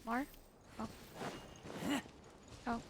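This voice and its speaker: A woman gamer giving casual gameplay commentary, muttering to herself in fragments that trail off. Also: drawn-out words. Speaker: gamer playing and reacting. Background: video game music.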